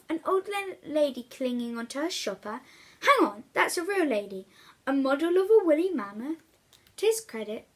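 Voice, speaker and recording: A woman reading a children's story aloud with expressive, dramatic emphasis. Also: on the high side. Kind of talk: animated read-aloud storytelling for young children.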